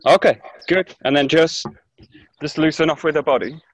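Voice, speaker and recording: A man in his thirties teaching an online aikido class, giving calm, short coaching cues outdoors in a grassy orchard, recorded on a camera mic as he moves nearer and farther. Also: fairly high pitch, normal speed. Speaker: aikido instructor. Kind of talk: live online class instruction. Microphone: camera mic, distance varies as he moves.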